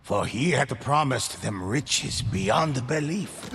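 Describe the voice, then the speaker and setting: A man narrating a game story in a measured, solemn way. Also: medium pitch, lively intonation, vocal fry, fairly bright tone, a little hiss or hum.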